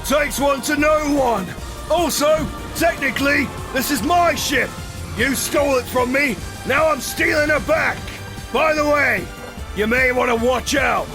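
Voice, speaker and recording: A man's voice: very high-pitched, unhurried, over music. A man voicing a swashbuckling pirate captain who tells his sea tales in salty, theatrical pirate talk. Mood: taunting, cocky. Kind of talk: dramatic scripted storytelling with voice acting.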